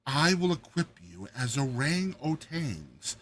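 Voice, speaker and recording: Man as a cunning, raspy dwarf jester. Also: medium pitch, big pitch swings, slow, fairly bright tone, full character voice. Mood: persuasive, scheming. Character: crippled dwarf court jester, cunning, persuasive schemer. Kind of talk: dramatized classic short story reading.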